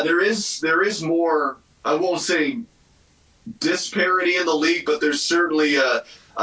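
Male hockey pundit, forceful edge, measured and opinionated, on a roomy remote call away from the mic. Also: medium pitch, distant mic. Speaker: hockey radio commentator, guest analyst. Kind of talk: conversational sports commentary.

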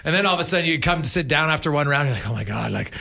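Casting: male sports host, bright forceful voice, slightly high, engaged and wordy in casual questioning. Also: lively intonation, racing, gravelly. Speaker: interviewer, radio host.

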